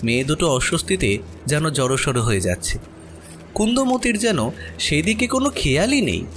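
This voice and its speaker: A man narrates a horror tale in an expressive storytelling style. His voice is fairly high and moves animatedly in pitch, with faint music behind it. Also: normal speed.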